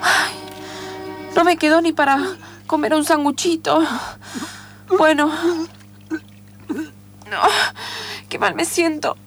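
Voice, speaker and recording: A woman's voice: sits high, flat delivery, slow pace, pauses often, soft background music. A woman playing a dreamy, naive young woman cyclist in improvised radio theatre, emotional and hesitant, with an Argentine accent. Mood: dejected.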